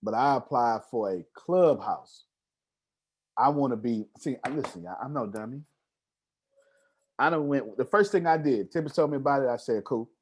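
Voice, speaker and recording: A man's voice: mid-range voice, expressive, normal speed, pauses often, darkish tone, slightly rough. A man leading an online group call as a coach, talking informally and emphatically in a motivational style, often checking that listeners follow. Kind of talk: animated motivational coaching talk.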